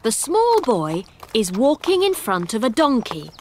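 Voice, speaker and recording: Woman reading easy story for learners, slow, highish lively voice, faint noise. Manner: deliberate, expressive. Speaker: storybook narrator. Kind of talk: scripted narration of a simple story for English learners.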